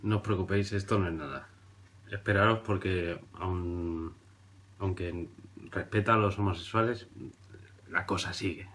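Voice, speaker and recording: A man in his thirties from Barcelona with a very deep voice, talking in a dry, sarcastic way. He hesitates and fills gaps with "mm" as he mocks the clips he plays. He is recorded on a webcam at his desk. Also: measured pace, pauses often, fairly bright tone. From Barcelona, Spain. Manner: dry, ironic, hesitant with fillers. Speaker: video commentator. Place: indoor room before a printed backdrop.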